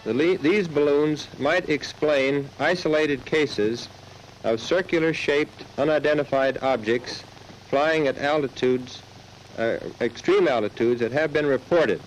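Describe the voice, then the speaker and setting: A man giving a formal expert statement in a careful, slightly halting way on a 1950s newsreel soundtrack. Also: fairly high pitch. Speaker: aeronautics expert. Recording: faintly noisy 1950s film soundtrack.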